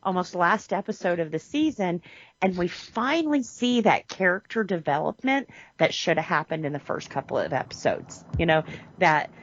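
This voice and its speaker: Podcast co-host: woman, low-pitched voice, animated casual talk, dull lo-fi audio. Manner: animated, lots of restarts and "you know". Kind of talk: casual chat reviewing a TV series.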